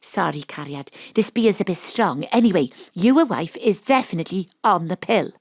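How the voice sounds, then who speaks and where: A woman with a Welsh accent playing a brash, wry, tipsy private detective in an audio drama, deadpan on a close microphone. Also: on the low side, moderate pace, no echo. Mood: blunt, confiding. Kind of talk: audio drama acting with first-person narration. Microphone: close home studio microphone.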